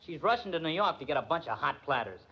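An actor playing a brash, loyal young hepcat who talks eager jive slang in 1940s radio style. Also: male voice, fairly high pitch, sing-song, fast, husky, highs cut off, heavily acted. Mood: cocky. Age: young adult.

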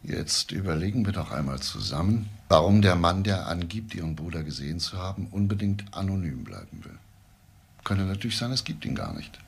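A male actor playing a deliberate, insistent police investigator in a crime radio play. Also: low voice, very animated, vocal fry, exaggerated voice. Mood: probing. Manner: deliberate, pointed, questioning. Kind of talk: radio crime drama acting.

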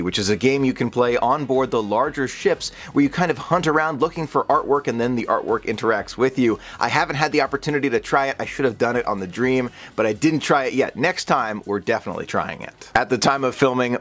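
A man in his thirties narrating a scripted travel vlog from his home office, upbeat and enthusiastic, seated at a desk camera. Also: medium pitch. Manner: upbeat, enthusiastic, smiling delivery. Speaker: vlogger.

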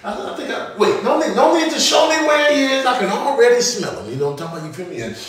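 A man's voice: very high voice, big pitch swings, fast, very echoey, recorded from a distance, crowd noise. A man voicing a cocky, streetwise alley cat with slangy swagger and catchphrases, in a comic animal voiceover. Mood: breezy swagger.

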